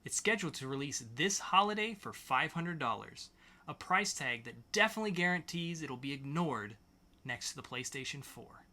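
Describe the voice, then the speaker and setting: A man's voice: on the high side, animated, moderate pace, pushed and bright. A man hosting a podcast, reading scripted pop-culture news in an announcer-like way with sarcastic quips. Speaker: podcast host.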